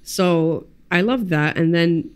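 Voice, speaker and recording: A woman, a graphic designer chatting as a podcast guest, animated and loose, swearing and dropping Arabic words into her English. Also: fairly low pitch, slow pace, smooth. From United States. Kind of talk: casual interview chat. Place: studio room with pinned boards. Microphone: desk mic on stand, headphones.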